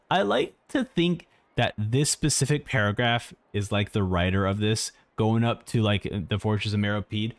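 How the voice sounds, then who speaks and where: A man's voice: mid-range voice, no echo. A man streaming a game, reading its text aloud theatrically and adding casual commentary. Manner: animated, theatrical reader.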